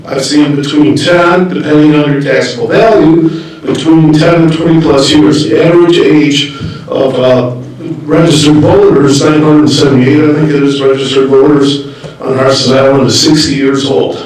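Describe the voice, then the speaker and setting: A man's voice: fairly high pitch, steady tone, moderate pace, darkish tone. A man giving public comment at a township board meeting, pressing the board with pointed questions. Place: meeting hall. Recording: echoey hall, mic from a distance.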